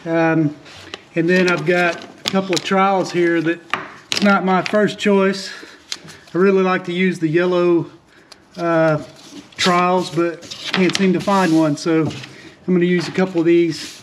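Man, high voice, casual DIY narrator with lots of fillers, faint outdoor hiss behind, recorded on a first-person camera. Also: measured pace, long pauses. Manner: relaxed, plainspoken, frequent "uh" fillers and restarts. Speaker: boat refit vlogger. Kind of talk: casual how-to narration while working. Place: outdoors on a sailboat deck.

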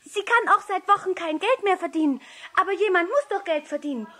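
A woman's voice: very high voice, animated, a little fast, bright, forceful tone, exaggerated voice. A woman playing a plucky, persuasive little girl in a 1960s German radio play, with an emphatic, lecturing manner. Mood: indignant, emphatic. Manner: emphatic, lecturing, rhetorical questions.

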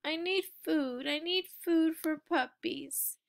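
A woman voicing a hungry, food-loving little female puppy in a storybook, with expressive, emphatic delivery. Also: sits high, slow pace, creaky, voice pushed up, exaggerated voice. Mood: pleading.

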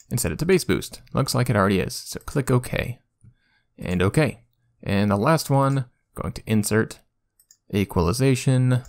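A man giving a casual software tutorial as a YouTuber, informal and self-deprecating, with the odd casual swear word. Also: on the low side, vocal fry.

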